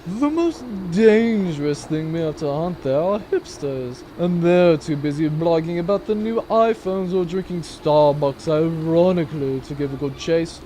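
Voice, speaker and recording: A woman's voice: deep voice, lively intonation, a little slow, hardly any pauses, warm tone, a little hiss or hum. An actress playing a bored, cruel, haughty noblewoman in a comedic sci-fi audio drama, with a pompous, theatrical drawl. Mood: bored, exasperated.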